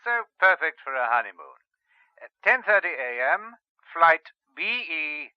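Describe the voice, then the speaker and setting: A man playing a smooth, persuasive government agent in an audio drama, speaking formally through a telephone filter. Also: a little high, very animated, measured pace, dry recording, lo-fi sound. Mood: smoothly persuasive.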